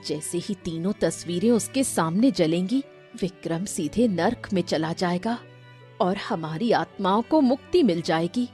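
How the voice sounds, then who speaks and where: Slow, mellow woman story narrator. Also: fairly low pitch, expressive, soft background music.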